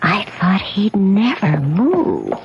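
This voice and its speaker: An actress playing a scheming, two-faced wife in a radio drama, colloquial, by turns impatient and coaxing. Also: female voice, medium pitch, huge pitch swings, slow, breathy edge, heavily acted. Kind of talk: 1970s radio drama acting. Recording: dull, slightly noisy old radio recording.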